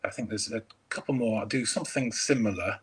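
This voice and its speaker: A man's voice: on the low side, fairly quick, husky. A man chatting as a crime author over a video call, hesitant with many ums and restarts. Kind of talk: casual interview chat.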